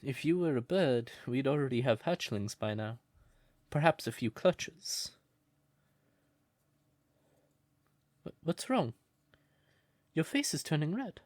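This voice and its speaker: Someone doing a shy crow person for a comfort roleplay, talks slow n stammery, kinda smitten and naive, super dry recording. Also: mid-range voice, sing-song, a little mellow, dry recording. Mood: shy, affectionate. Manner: hesitant, flustered stammering. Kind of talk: intimate comfort roleplay audio acting.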